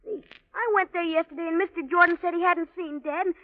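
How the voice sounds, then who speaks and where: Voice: extremely high pitch, steady tone, fast talker. An actor playing an earnest young boy in a 1940s radio drama. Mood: hesitant, upset. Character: earnest young boy worried about his missing father. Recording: thin, phone-like vintage radio recording.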